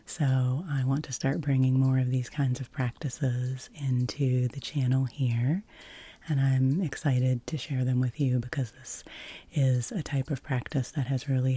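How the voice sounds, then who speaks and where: A very low-pitched woman speaks in a breathy, whispered ASMR style. Her delivery is slow and soothing, with crisp, very bright sibilance. She gently guides the listener through relaxation. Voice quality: breathy, whispery soft-spoken.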